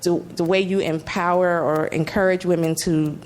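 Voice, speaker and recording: A woman with a low-pitched voice speaks on a panel at a public address. She gives a considered answer in a halting manner, often repeating words as she works through it. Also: steady tone, a little mellow.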